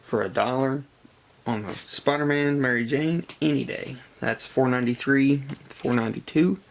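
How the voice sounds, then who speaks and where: Man, mid-pitched, heavy vocal fry, stuffy from a cold, casual vlog show-and-tell, halting delivery. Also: a little hiss or hum. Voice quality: gravelly, congested. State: congested from a cold. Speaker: comic book collector presenting a haul.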